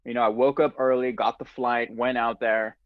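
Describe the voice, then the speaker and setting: A man hosting a casual podcast conversation, telling relaxed stories with frequent laughs. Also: medium pitch, moderate pace, narrow, tinny audio. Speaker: podcast host.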